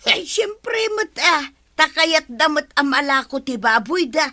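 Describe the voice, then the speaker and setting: High, animated Ilocano village scold. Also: female voice, unhurried, heavily acted. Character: scolding, no-nonsense village woman. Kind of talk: Ilocano radio comedy drama acting.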